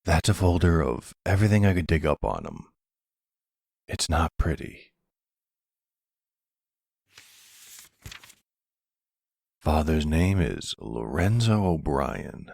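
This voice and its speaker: Man acting a deep, gravelly mafia boss. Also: big pitch swings, a little mellow, no echo, studio quality, exaggerated voice. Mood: grave, steady. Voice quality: low, gravelly. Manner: unhurried, affectionate, measured. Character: tender silver-fox mafia boss, older man, calm and devoted. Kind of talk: intimate boyfriend roleplay audio drama.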